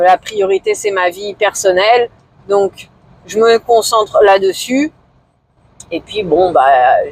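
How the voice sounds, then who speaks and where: A woman in her forties talking casually to camera in a vlog, hesitant and low-key, with many fillers and pauses. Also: rough recording. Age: 40s. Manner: low-key, somewhat discouraged. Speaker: vlogger.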